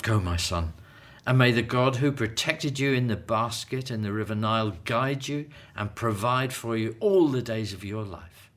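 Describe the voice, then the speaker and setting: An actor playing a calm, devout elderly father in a biblical radio drama. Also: mid-range voice, moderate pace, close to an everyday voice. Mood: solemn blessing.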